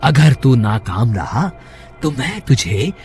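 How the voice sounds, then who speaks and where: A man's voice: mid-range voice, sing-song, takes it slowly, gravelly, darkish tone, soft background music, full character voice. A man voicing a hungry, menacing severed-head ghost in a horror cartoon, threatening and commanding. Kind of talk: Hindi horror cartoon character voice.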